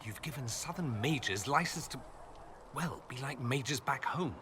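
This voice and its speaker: An actor playing an urbane, sardonic foreign mage in a video game, with dry, theatrical wit. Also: male voice, medium pitch, lively intonation, a little slow, background noise, close to an everyday voice. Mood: wry, cautioning. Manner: witty, dry, theatrical sarcasm. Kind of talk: video game dialogue acting.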